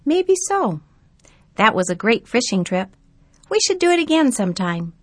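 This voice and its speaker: A woman voicing a gentle forgetful old grandpa. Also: on the high side, very animated, no echo. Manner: slow and mild, musing.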